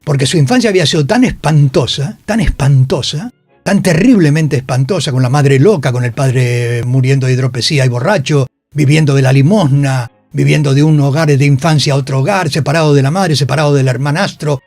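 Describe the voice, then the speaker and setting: A man's voice: a little high, brisk, a little mellow, no room sound, faint music behind. An Argentine man telling stories as a radio interview guest, emphatic, repeating phrases for stress and swearing freely. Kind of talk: animated storytelling in a radio interview. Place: radio studio.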